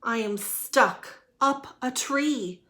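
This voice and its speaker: Storybook elephant prankster, played by a woman: male, high-pitched, teasing, pleading, hollering in stretched-out calls. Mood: stuck, pleading. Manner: calling out in drawn-out shouts.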